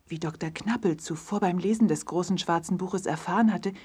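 A woman's voice: lowish voice, fairly quick, darkish tone, slightly rough. A woman narrating a children's fairy tale in a scripted storytelling style.

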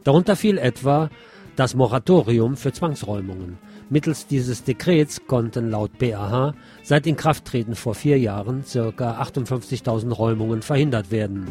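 A man's voice: mid-range voice, normal speed, darkish tone, faint music behind. A man hosting a radio programme, reading press commentary and news aloud. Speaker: radio show host.

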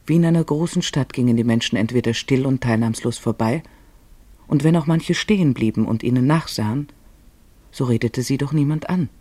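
A man's voice: medium pitch, a little fast, warm tone, no room sound. A man narrating a literary radio play in a scripted storytelling style.

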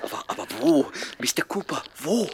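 Radio play stooge: fairly high voice, dry sound, childlike simpleton henchman, whiny and full of gloomy superstition. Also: very animated, moderate pace, husky, no room sound, exaggerated voice. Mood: naive, eager. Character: naive, superstitious gangster sidekick, childlike and gloomy. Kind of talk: 1960s German comic crime radio play.

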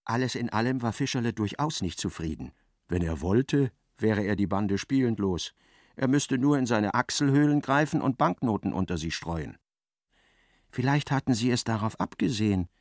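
A man narrating a literary radio drama as a wry, intense storyteller, expressive and precise, with a creaky voice. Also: fairly low pitch, normal speed, no room sound, clean studio sound. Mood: dry, dramatic.

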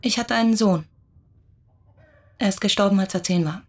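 An actress playing a reserved woman who lost her son, speaking in a quiet, measured way in a radio drama. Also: mid-range voice, lightly acted. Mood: grave. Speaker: visitor seeking the uncle.

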